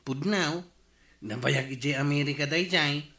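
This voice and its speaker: Echoey Ilocano nephew. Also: male voice, a little high, measured pace, bright, forceful tone. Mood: pleading. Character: reluctant young nephew in a village comedy. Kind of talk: Ilocano radio comedy drama acting. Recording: roomy, echoing studio sound.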